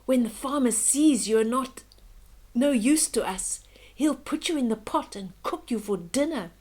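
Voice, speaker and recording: A woman voicing a kindly old storybook mother hen giving urgent, hushed warnings. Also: on the high side, expressive, a little slow.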